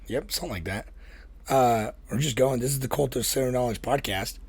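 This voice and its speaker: A man with a raspy voice co-hosting a podcast in casual, laid-back chat, swearing and laughing easily. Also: mid-range voice, fairly quick, a little mellow. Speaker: podcast co-host.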